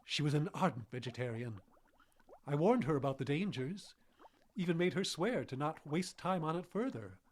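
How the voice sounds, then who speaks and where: An evasive, halting elderly scientist. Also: male voice, on the high side, expressive, a little mellow. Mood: regretful, anguished. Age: older. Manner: halting, formal, defensive. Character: evasive, guilt-ridden elderly male scientist with failing memory. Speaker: actor in audio drama. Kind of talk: live radio drama acting. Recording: noisy live radio drama recording.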